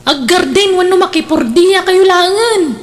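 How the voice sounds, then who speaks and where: Woman acting a high-voiced, scolding older sister. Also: lively intonation, a little slow. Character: scolding, pessimistic older sister, content with simple living. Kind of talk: radio drama acting.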